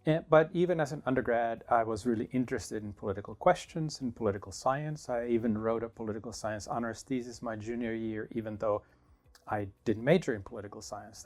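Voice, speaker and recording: A middle-aged man from Finland, a professor answering interview questions in a thoughtful, measured way with small restarts. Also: lowish voice, fairly even intonation, a little mellow. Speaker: political science professor.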